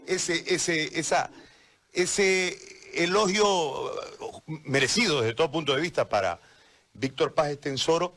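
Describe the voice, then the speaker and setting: A Bolivian man works as an interviewer in a conversational political talk. His voice is a little high and swings widely in pitch, while his phrasing is hesitant and repetitive. There is faint noise behind him. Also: a bit bright. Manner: hesitant, circling phrasing with repeated words. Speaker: interviewer, journalist.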